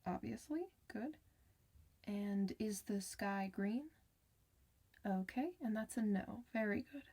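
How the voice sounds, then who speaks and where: A woman acting as a kind clinician in an ASMR roleplay. She is soft-spoken and reassuring, with a chatty bedside manner and a medium-pitched voice, talking straight to her patient. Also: takes it slowly. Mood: gentle, attentive. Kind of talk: ASMR medical roleplay addressed to the listener.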